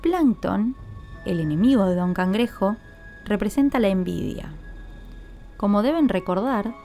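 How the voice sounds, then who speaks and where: Woman, mellow relaxed tone, medium pitch, scripted explanatory podcast narration. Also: unhurried, faint music behind. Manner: explanatory, addresses listeners directly. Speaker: podcast host.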